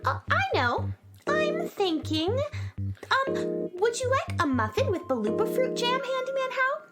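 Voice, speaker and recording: A woman's voice: very high voice, sing-song, measured pace, loud music behind the voice, exaggerated voice. A woman voicing a cheerful, chatty young heroine in a children's radio play. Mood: stalling. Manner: bright, chatty, stalling.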